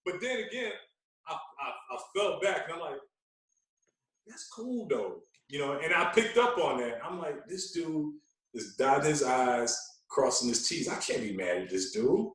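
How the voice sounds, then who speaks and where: A man talking casually as a podcast guest, telling stories in an animated way and repeating phrases for emphasis. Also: a little high. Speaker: guest, veteran radio personality. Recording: echoey room, distant from mic.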